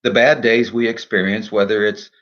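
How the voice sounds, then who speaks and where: A man speaking in a measured, earnest, deliberate way, in the style of a devotional poetry reading and reflection. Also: fairly low pitch, fairly even intonation, fairly bright tone, lo-fi sound. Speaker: minister and poet reading own poem.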